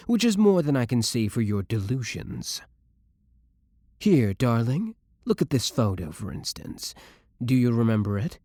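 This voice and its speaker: A man's voice: medium pitch, warm tone, no echo, clean studio sound. A man playing a jealous, menacing young boyfriend in an audio roleplay, biting with his rival and tender with the one he adores. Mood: indignant, boastful. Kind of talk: scripted romantic audio roleplay.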